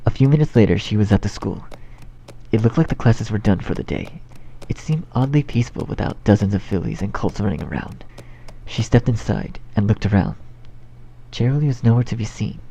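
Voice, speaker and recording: A man's voice: fairly low pitch, expressive, fairly quick, vocal fry, mellow. A man narrating a scripted fan fiction story as its storyteller. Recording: dull home recording with faint noise.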